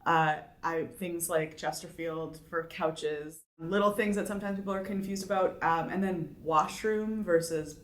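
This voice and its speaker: A woman's voice: on the low side, measured pace, hardly any pauses. A Canadian woman with a Canadian accent, chatting about words in a light, amused way. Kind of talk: casual interview answer. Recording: echoey room.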